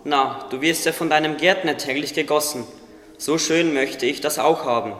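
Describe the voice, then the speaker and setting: A man's voice: on the high side, moderate pace, fairly bright tone, close to an everyday voice. A man playing a wise, modest winged creature who encourages a friend, earnest and instructive, in a religious radio play. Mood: friendly, urging. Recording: echoey, long reverb, noisy ambience.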